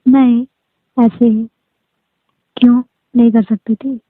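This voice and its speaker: A woman's voice: on the high side, level tone, takes it slowly, slight background noise. A woman chatting casually and privately as a caller on a phone call. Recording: dry, narrow tinny phone line.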